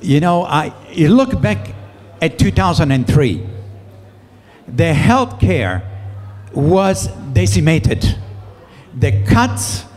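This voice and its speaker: A man's voice: a little high, very animated, slow pace, a little mellow, in a large hall. A male political candidate answering a question at a public debate, emphatic and defensive. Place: public meeting hall.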